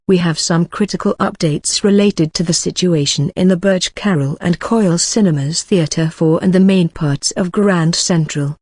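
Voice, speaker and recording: News announcer reading emergency bulletins, middle pitch, really dry close sound. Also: a little mellow, no room sound. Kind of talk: scripted emergency news narration.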